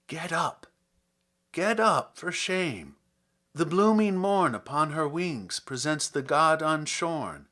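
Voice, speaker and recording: A man reading classic poetry aloud in a theatrical, deliberate and expressive manner, as a podcast host. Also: on the high side. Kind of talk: dramatic poetry recitation.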